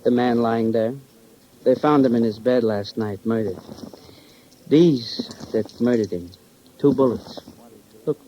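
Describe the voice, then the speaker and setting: A weary medical examiner from an old radio crime play, acted by a man. His voice is dark and soft-edged, and he broods over each point in halting, inverted phrases. The recording is dull and dated. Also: medium pitch, slight background noise, natural voice. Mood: intense, brooding. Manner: halting, emphatic, philosophical phrasing with inverted word order. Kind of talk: 1950s radio crime drama acting.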